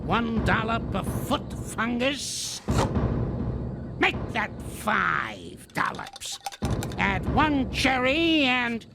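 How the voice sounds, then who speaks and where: Crabby old cartoon ape, crackly and squawky, jumps all over the place, loud music under him. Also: male voice, high voice, exaggerated voice. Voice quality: gravelly, creaky old voice. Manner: grouchy, barking and scolding. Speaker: voice actor. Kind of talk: animated series voice acting. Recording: clip with loud music and sound effects behind.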